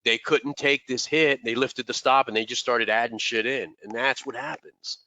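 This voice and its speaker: A man's voice: mid-range voice, steady tone, normal speed, sharp tone, dry recording. A man teaching trading in a loose live session, congested and rambling, often repeating words. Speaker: trading mentor. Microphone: desk microphone.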